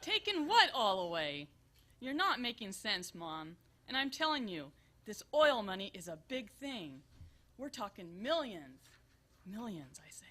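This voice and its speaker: A woman acts an earnest grown daughter in a stage radio comedy. The voice is forceful and swoops widely, emphatic and exasperated, a busy accountant trying to reason. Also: mid-range voice, sing-song, unhurried, full character voice. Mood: insistent. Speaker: stage performer in a benefit show. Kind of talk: live comedic radio-show acting.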